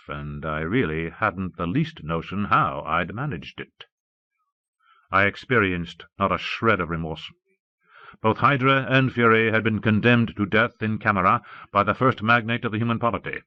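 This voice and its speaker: A man's voice: low-pitched, no echo. A man reading a novel aloud as a scripted first-person audiobook narration. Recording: muffled old cassette audiobook.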